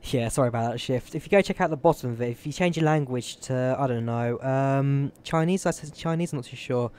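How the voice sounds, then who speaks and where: A man's voice: medium pitch, fairly quick, darkish tone, smooth. A young man from the UK, chatting casually and rambling to viewers while he streams a flight simulator. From United Kingdom. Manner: rambling, chatty, thinking aloud while fiddling with settings. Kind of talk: casual live-stream chat.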